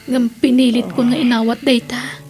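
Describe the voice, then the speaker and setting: High-voiced forgiving daughter, acted by a woman. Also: level tone, normal speed, darkish tone, soft background music, close to an everyday voice. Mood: tender, hurt. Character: hurt but forgiving grown daughter. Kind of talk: Ilocano radio drama acting.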